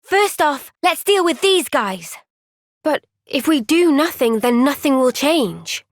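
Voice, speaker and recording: Young female game heroine: high voice, slow measured delivery, gentle yet resolute, dry close recording. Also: very animated, dry recording, exaggerated voice. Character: earnest, gentle but firm young woman fighter. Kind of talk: video game cutscene voice acting.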